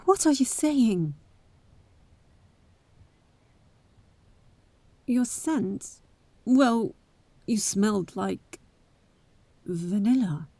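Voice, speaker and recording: A bold adventurer heiress played by a woman speaks slowly and close in a dry space. She is caring yet wary, with breathy asides and hesitant pauses, as in an audio roleplay aimed at the listener. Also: mid-range voice, dark tone, dry recording. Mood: concerned.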